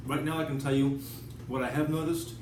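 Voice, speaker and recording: A man's voice: medium pitch, fairly even intonation, a little fast. A man talking casually and off the cuff in a blunt, rambling, sometimes profane way, as the host of his own vlog. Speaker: writer hosting his own video channel. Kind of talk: casual unscripted vlog talk. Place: indoors at home in an apartment. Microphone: tablet camera at a distance. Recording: distant, echoey room with clear background noise.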